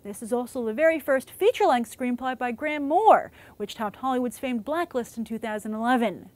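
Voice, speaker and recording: A woman's voice: fairly high pitch, a little fast, a little mellow, no room sound. A young woman hosting a film web show, reading scripted movie news as a presenter. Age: young adult.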